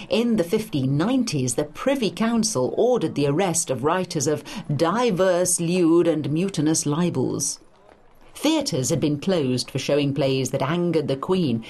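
Husky, animated woman narrating. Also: low-pitched. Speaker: narrator of an audio study guide. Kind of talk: scripted educational narration in dialogue.

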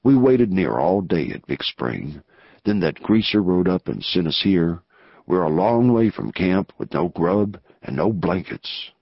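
A man voicing a lean, gruff outlaw gang leader in a folksy Western drawl, as in a storybook. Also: deep voice, big pitch swings, measured pace, dry recording, lo-fi sound, pitched below the performer's own voice, heavily acted. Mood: low, irritable. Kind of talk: Western novel dialogue.

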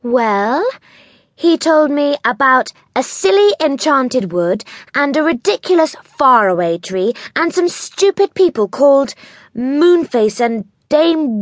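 A woman's voice: high-pitched, no room sound, studio quality, voice pushed up. A woman voicing a dainty, snooty young girl in a children's audiobook, haughty, emphatic and dismissive.

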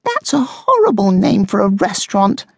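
A woman's voice: high voice, big pitch swings, moderate pace, dry recording, pitched above the performer's own voice. A woman voicing a snooty, vain female guinea pig with a posh, disdainful manner in a children's storybook. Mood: scornful.

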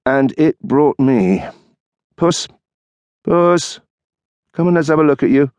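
A man's voice: lowish voice, expressive, normal speed, a little mellow, dry recording. A man voicing a playful, joking husband in light, teasing banter.

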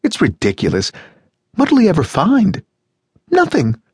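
A man voicing a cheerful, shrill, high-pitched American housewife who gushes and chatters gaily in an audiobook. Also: huge pitch swings, unhurried, husky, dry recording, studio quality, exaggerated voice. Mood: gushing. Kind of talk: audiobook reading.

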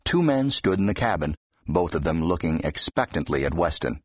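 A man with a deep, husky voice reading fiction expressively as an audiobook narrator. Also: sing-song, normal speed, dry recording. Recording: dull, slightly muffled audio.

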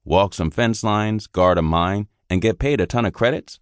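A man's voice: fairly low pitch, normal speed, smooth, no echo, studio quality, pitched above the performer's own voice, natural voice. A man voicing a naive, eager young army private who asks earnest questions. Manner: wide-eyed, earnest questioning.

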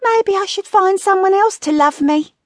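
A woman's voice: very high voice, normal speed, no room sound, studio quality, pitched above the performer's own voice. A woman voicing a vain, sarcastic older wife who bickers and scolds theatrically in an audiobook story. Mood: vain, preening. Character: shrill, sharp-tongued, vain older wife in a bickering marriage.

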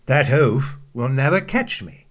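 A man voicing a boastful, cocky outlaw hero in a children's story, husky and slow. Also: medium pitch, very animated. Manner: boastful, laughing.

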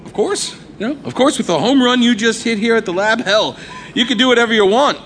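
An actor playing an earnest, excitable lab colleague in an audio drama, conversational with a stammering hesitance. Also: male voice, extremely high pitch, a little fast. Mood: excited. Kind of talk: live audio drama acting. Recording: live recording with faint ambient noise.

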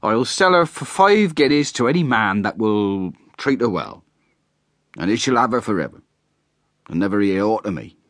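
A man's voice: lowish voice, sing-song, moderate pace, dry recording, clean studio sound, pitched above the performer's own voice. A man voicing a drunken, brash rustic hay trusser who blusters and boasts. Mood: blustering. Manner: blustering and boastful, shouting at times.

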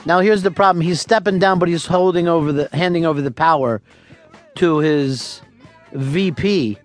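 A man hosting a radio talk show, taking callers and telling absurd tall tales with a deadpan, profane delivery. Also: a little high, moderate pace, faint music behind.

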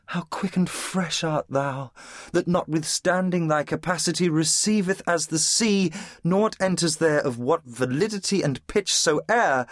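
Slow, languid lovesick duke. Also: male voice, a little high, no echo, studio quality. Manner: languorous, poetic, sighing delivery. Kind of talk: Shakespearean audio drama.

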